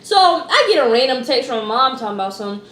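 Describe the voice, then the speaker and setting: A teenage girl from Georgia, telling a school story in a loud, expressive, slangy way. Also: sits high, fairly quick, roomy sound. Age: teens. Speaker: vlogger. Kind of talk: casual storytime.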